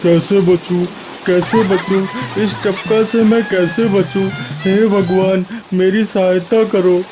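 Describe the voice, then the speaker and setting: A man's voice: high-pitched, fairly even intonation, slow pace, over music, rough recording. A man voicing a frightened, gullible cartoon lion with a panicky manner. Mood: panicked, pleading. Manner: panicky, stammering in fear. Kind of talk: Hindi cartoon story dialogue.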